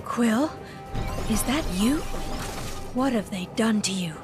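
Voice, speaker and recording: An actress playing a regal, commanding superhero warrior in an animated game, formal and resolute. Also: female voice, on the high side, slow. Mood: urgent. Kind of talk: animated game cutscene acting. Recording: loud music behind the voice.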